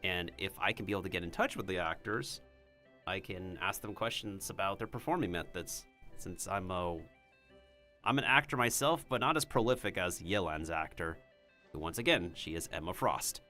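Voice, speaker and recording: A man's voice: low voice, expressive, normal speed, bright, forceful tone, faint music behind. A man streaming a game, chatting and rambling with giggles, whose first language is Mandarin Chinese. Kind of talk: casual game-stream chat.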